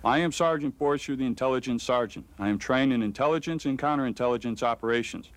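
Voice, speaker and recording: Brisk sergeant reading his duties. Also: mid-range voice, dry recording. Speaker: army intelligence sergeant. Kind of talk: scripted military self-introduction.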